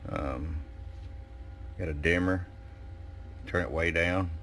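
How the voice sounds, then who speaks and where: Man, very low pitch, casual product review, folksy and unhurried, some background noise. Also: fairly even intonation, fairly bright tone. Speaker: shop owner presenting product. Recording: clear background noise and room ambience.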